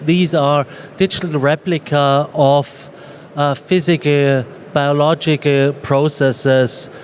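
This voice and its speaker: A man from Austria with an Austrian accent, answering as a panelist in a fluent, explanatory way and slowing on key terms. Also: fairly high pitch, slight background noise. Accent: Austrian German. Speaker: panelist, AI executive.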